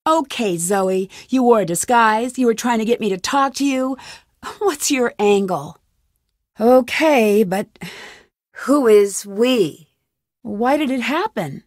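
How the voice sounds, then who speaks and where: Young American female sleuth for a game: determined, clearly spoken, higher-pitched, dry studio sound. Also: expressive, slow pace, dry recording. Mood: businesslike, curious. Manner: assertive, questioning, clearly enunciated. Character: determined young female amateur detective, plucky and probing. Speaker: game protagonist. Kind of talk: video game voice acting.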